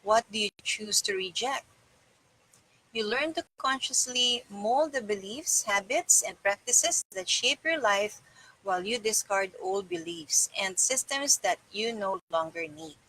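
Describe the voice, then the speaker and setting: A woman's voice: mid-range voice, expressive, measured pace, bright, forceful tone, slightly rough, low-quality recording. A middle-aged woman reading a self-help book aloud in a small room indoors. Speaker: reader.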